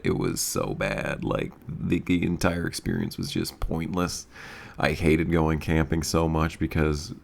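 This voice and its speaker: A man's voice: extremely low pitch, measured pace, vocal fry. A man telling a casual, profane comedic story in a rambling way, often repeating himself and stammering. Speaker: storyteller and video creator.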